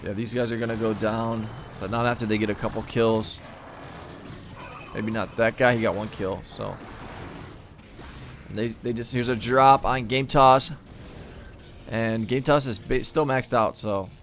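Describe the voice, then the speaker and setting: A man's voice: mid-range voice, fairly even intonation, measured pace, long pauses, fairly bright tone, slight background noise. A man giving live commentary on a real-time strategy match in an easygoing, thinking-aloud way, and he is losing his voice. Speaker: game caster.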